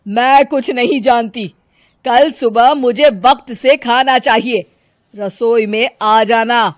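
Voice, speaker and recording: A woman's voice: on the high side, slow pace, no echo, studio quality. A woman voicing a taunting, bossy middle-aged mother-in-law in a cartoon story. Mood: scolding.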